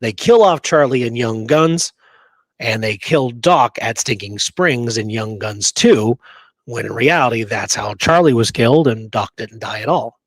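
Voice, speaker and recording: A man's voice: medium pitch, expressive, unhurried, no room sound, poor audio. A man co-hosting a history talk show, chatting casually and emphatically, often checking that his listener agrees. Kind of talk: casual chat about Western history and films.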